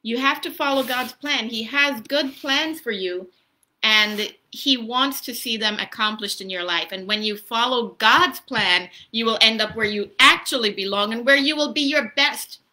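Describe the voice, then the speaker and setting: A woman's voice: on the high side, normal speed, bright, forceful tone. A woman in her thirties chatting to children as a story-time host and pastor, explaining simply and asking questions. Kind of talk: casual, child-directed teaching chat. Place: small room at home. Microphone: laptop or phone at arm's length.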